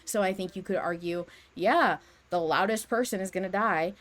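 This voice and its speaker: A woman's voice: medium pitch, moderate pace, fairly bright tone. A woman co-hosting a podcast in casual fan discussion, rambling as she reasons aloud through possibilities. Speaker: podcast co-host.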